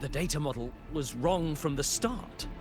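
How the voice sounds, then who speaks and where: An actor playing a driven, defiant young researcher in a video game, intense and urgent, as if talking to himself. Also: male voice, on the high side, moderate pace, close to an everyday voice. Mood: agitated, obsessed. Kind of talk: dramatic video game voice acting. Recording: game music behind the voice.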